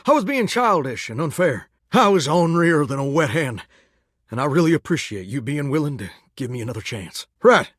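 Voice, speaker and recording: A man's voice: medium pitch, dry recording, clean studio sound. A man with a Southern US accent voicing a folksy, earnest, starry-eyed singing shower in a dating game, drawling homespun sayings. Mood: sincere, apologetic. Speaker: voice actor in a dating sim game. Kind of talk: expressive video game character dialogue.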